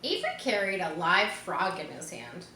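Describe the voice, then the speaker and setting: A woman reading a children's story aloud, expressive, as if guiding young listeners through it. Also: fairly low pitch, moderate pace. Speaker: storybook reader. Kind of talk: children's read-aloud narration. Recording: roomy echo, faint background noise.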